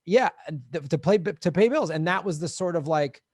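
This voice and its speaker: Animated Midwestern man, dry sound. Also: fairly high pitch, moderate pace, husky, no room sound. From American Midwest. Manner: animated storyteller, laughs often, acts out voices. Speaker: podcast co-host, touring comedian. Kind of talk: casual chat.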